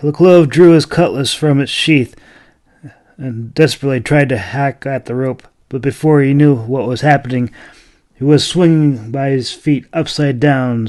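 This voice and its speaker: A man narrating a fantasy audiobook in a dramatic, expressive, deliberate storytelling style. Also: mid-range voice, no room sound, studio quality.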